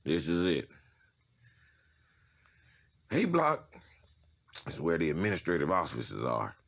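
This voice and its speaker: A man's voice: very deep voice, huge pitch swings, slow pace, creaky, a bit bright, full character voice. A man playing a tough, streetwise prison inmate in a comic-book drama, talking in a casual, slangy, profane way. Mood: matter-of-fact. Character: tough, streetwise adult male prison inmate giving a tour.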